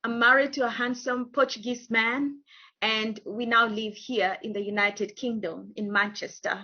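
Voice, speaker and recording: A woman from Kenya, a guest introducing herself and her consulting work, speaking in measured phrases with frequent filler pauses. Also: a little high, fairly even intonation, muffled recording. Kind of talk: interview self-introduction. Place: home in England over video call.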